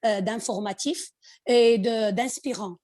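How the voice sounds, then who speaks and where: Moroccan lady on a podcast, highish voice, stops to hunt for words, drops in english bits. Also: slow, darkish tone. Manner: hesitant, searching for words, slipping in English. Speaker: podcast guest, finance executive. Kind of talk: conversational interview.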